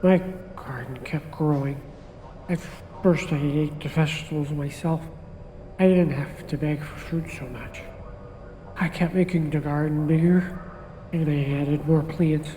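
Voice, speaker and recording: An actor playing a gentle, simple homeless man who is a suspect, speaking in a soft, halting, hesitant way in an audio drama. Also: fairly high pitch, fairly even intonation, big-room sound, slight background noise, close to an everyday voice, rough recording. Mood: wistful.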